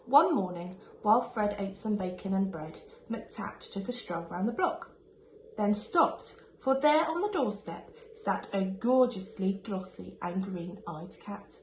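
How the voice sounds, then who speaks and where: A woman reading a rhyming children's picture book aloud in an expressive, sing-song storytelling manner, like a primary school teacher. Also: medium pitch, a little slow. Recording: echoey room with faint background noise.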